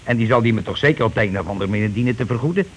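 A man's voice: lowish voice, racing, natural voice. Casting: male actor for a scheming, bossy husband, streetwise and glib, in a radio play; noisy old recording. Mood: glib, persuasive. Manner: glib, colloquial, self-justifying. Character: scheming, bossy working-class husband, glib petty chancer. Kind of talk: Dutch radio play acting.

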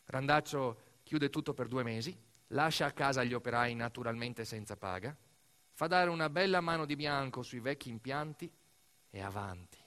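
A man performing a narrative theatre monologue as a storyteller, emphatic and dramatic, swinging between hushed and raised delivery. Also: medium pitch, normal speed. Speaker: stage actor narrating a monologue.

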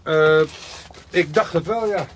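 An actor playing a plain, sceptical police inspector in a radio play, casual and a little hesitant. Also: male voice, on the high side, slow pace. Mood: casual, unsure. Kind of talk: Dutch radio play acting. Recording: faintly noisy radio play recording.

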